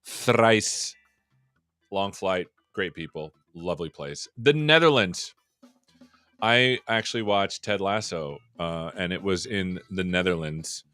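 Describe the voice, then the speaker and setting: A man's voice: deep voice, expressive, takes it slowly, sharp tone, smooth, faint music behind. A man hosting a live tech show, chatting casually and joking as he welcomes viewers. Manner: jokey, rambling and upbeat. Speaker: show host. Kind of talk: casual live-stream chat.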